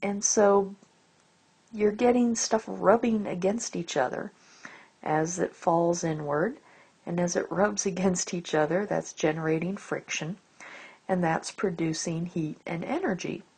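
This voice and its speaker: Slow, gravelly woman lecturing. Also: fairly low pitch, darkish tone. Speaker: astronomy lecturer. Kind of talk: explanatory lecture over slides.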